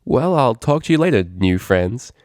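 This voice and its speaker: Man voicing an eager, higher-pitched happy pony girl. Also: very animated, normal speed, a little mellow, no room sound, clean studio sound, lightly acted. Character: friendly, earnest, happy female pony student.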